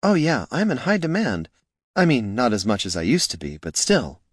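Brief: man doing a lifted, lively faded heroine, casual and wry, dry booth sound. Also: mid-range voice, normal speed, husky, no room sound, voice pushed up. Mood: breezy. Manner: breezy, offhand.

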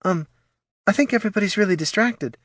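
A man's voice: sits high, brisk, fairly bright tone, no room sound, clean studio sound, voice pushed up. Man doing a nervous teenage girl. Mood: hesitant. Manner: hesitant, mumbling. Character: awkward, uneasy adolescent human girl.

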